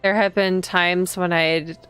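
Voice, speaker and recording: A woman's voice: lowish voice, little pitch movement, takes it slowly, a bit bright, clean tone, no room sound, faint music behind. A woman reading visual novel text aloud as a streamer, expressively, with casual reactions to the story.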